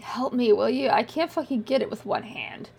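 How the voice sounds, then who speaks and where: A woman's voice: a little high, moderate pace, gravelly, darkish tone, a little hiss or hum. A woman voicing a desperate, injured young male soldier who begs not to be left behind. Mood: gruff. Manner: pleading, rising to panic.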